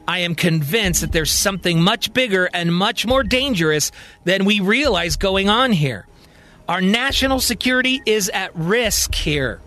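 Insistent, grave president, a man acting, slightly high. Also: expressive, unhurried, pushed and bright, full character voice. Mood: urgent. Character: grave, insistent male president, commanding and urgent. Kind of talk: acted dialogue in audio drama storytelling.

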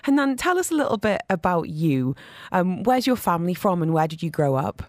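A woman's voice: fairly low pitch, big pitch swings, fairly quick, darkish tone, no room sound, clean studio sound. A woman hosting an interview in a chatty, inquisitive, conversational way. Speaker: interviewer, host.

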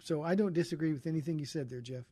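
A man's voice: a little high, quick pace, warm tone, no room sound. A man hosting a call-in radio show as a pastor, answering callers in an earnest, encouraging way and often restarting his sentences. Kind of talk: call-in radio Bible talk.

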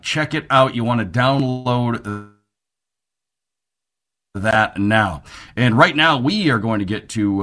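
A man's voice: medium pitch, a bit bright. Guy doing upbeat sports radio host talk, normal midrange voice.

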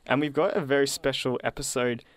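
A man in his twenties with an Australian accent hosting a community radio show in a studio, upbeat and quick. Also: mid-range voice, steady tone, no echo. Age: 20s. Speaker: radio host.

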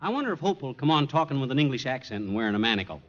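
A man's voice: medium pitch, very animated, rapid-fire, natural voice. A man playing a folksy, blustering know-it-all husband in 1940s radio comedy style. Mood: folksy, confident. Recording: dull, noisy 1940s radio recording.

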